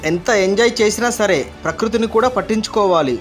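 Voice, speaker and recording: A man with a distinctly high voice narrates a facts video. He reads from a script in an explainer style, with soft music playing underneath. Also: fast talker.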